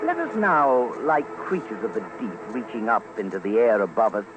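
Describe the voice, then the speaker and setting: A man delivers dramatic scripted documentary narration in a grand, theatrical, measured manner, like a commentator on a vintage radio broadcast. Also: on the low side, sing-song, dull audio, heavy background noise. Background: loud background music.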